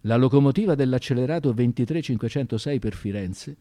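A man narrating a radio drama as a scripted story, intense and emphatic, with deliberate dramatic pauses. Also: medium pitch, mellow, no echo, studio quality.

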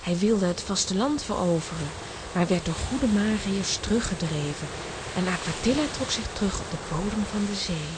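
Woman as a gentle, solemn young mermaid. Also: medium pitch, animated, normal speed, noisy background, close to an everyday voice. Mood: earnest, warning. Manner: earnest, storytelling. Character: gentle, solemn young female mermaid, last of her kind. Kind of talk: children's audio drama acting.

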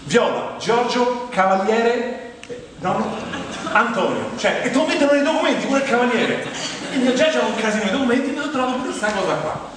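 A man's voice: extremely high pitch, a little fast. Roman man's monologue in a very echoey hall. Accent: Roman Italian. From Rome, Italy. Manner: animated, rambling, acts out quoted dialogue, addresses the audience. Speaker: actor performing an autobiographical stage monologue. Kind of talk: humorous storytelling monologue, conversational. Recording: very echoey hall, distant mic, clear background noise.

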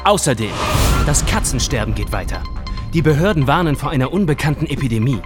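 A man's voice: medium pitch, animated, fairly quick, faint music behind, lightly acted. An actor playing an upbeat male breakfast radio co-host in a radio drama, with breezy broadcast delivery. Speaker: radio presenter.

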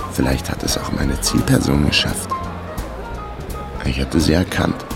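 A man's voice: a bass voice, creaky. A man narrating a radio crime drama as a laconic amateur sleuth, in a terse, matter-of-fact way. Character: laconic male amateur sleuth telling his own case.

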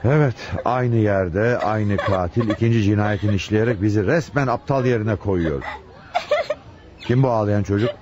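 An actor playing a brisk but fatherly police chief inspector in a radio drama, questioning with authority and soothing. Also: on the low side, noisy background, close to an everyday voice. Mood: brisk, indignant. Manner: questioning, commanding, soothing with child. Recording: dull old radio drama recording.

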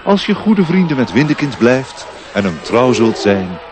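A man's voice: medium pitch, sing-song, moderate pace, close to an everyday voice. An actor playing a benevolent, stately elf king in a solemn, ceremonious radio play manner. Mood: regal warmth. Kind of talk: Dutch radio play acting. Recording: noisy old radio play recording.

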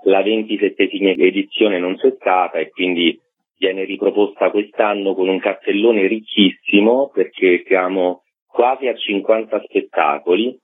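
A man speaking as a festival director in an informative radio interview. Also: sits low. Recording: tinny narrow phone line on radio.

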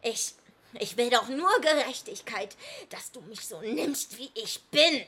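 A woman's voice: fairly high pitch, lively intonation, a little slow, a bit bright, breathy edge, heavily acted. A woman playing a bitter, tormented grown daughter in a German radio drama, intense and brooding, often half-whispering. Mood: bitter, reproachful.

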